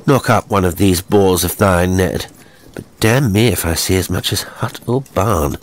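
A man's voice: sits low, moderate pace, slight background noise, natural voice. A peevish young drunken nobleman acted by a male narrator, muttering and grumbling. He is a delicate, cursing sort from a period horror storybook. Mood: irritable.

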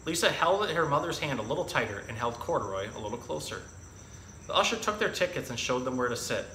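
Bright-voiced man in an echoey room. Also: mid-range voice, sing-song, fast talker. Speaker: zookeeper presenting an animal storytime. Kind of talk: casual educational talk and picture-book reading for children. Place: indoor room with hard walls and tiled floor. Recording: echoey room, faint background noise.

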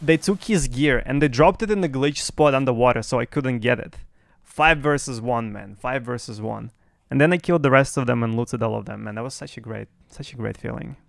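A young man whose first language is Russian, giving excited, boastful live commentary on his game to his chat through a headset. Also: mid-range voice, brisk, vocal fry, no room sound, studio quality. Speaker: streamer.

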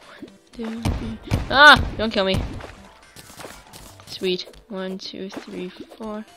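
A woman casually commentating her own gameplay for viewers, chatty and quick to get excited. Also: mid-range voice, faint music behind. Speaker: gaming YouTuber. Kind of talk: let's play commentary.

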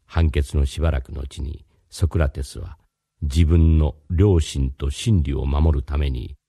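A man's voice: very deep voice, measured pace, gravelly, dark tone, slightly rough, no echo, studio quality, exaggerated voice. A man playing a mysterious, courteous philosophy teacher, narrating in a scripted radio drama. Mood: solemn, explanatory.

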